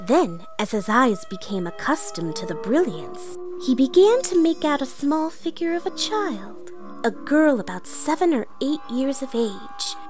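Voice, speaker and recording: A woman's voice: high voice, sing-song, measured pace, music in the background. A woman playing an earnest, theatrical narrator of a storybook read-along. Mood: dramatic, earnest. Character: earnest theatrical storyteller, comic author-narrator persona played female.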